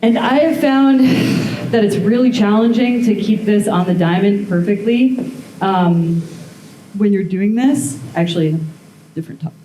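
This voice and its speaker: A woman's voice: mid-range voice, measured pace, a little hiss or hum. A young woman blacksmith talking casually through a live demonstration, thinking aloud as she works. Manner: relaxed, thinking aloud while working. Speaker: blacksmith giving a demo. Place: forge workshop at a hammer-in event. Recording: echoey workshop with faint ambience.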